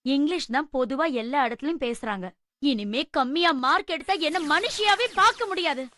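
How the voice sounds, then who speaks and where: A woman's voice: high voice, animated, brisk, bright, forceful tone, dry recording. A woman playing a strict, nagging mother in a dubbed cartoon. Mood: stern. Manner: scolding, instructive.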